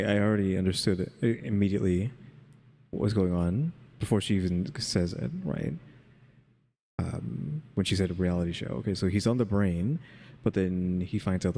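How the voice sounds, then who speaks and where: A man with a low, creaky voice comments casually in a very reverberant recording. He rambles as he thinks aloud, guessing his way through reactions off the cuff. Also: darkish tone.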